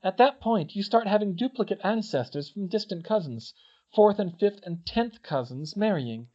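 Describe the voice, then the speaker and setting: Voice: medium pitch, expressive, moderate pace, warm tone, lo-fi sound, voice pushed up, lightly acted. A reader voicing an earnest, insistent young girl student who speaks emphatically. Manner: emphatic, didactic, stammers when upset.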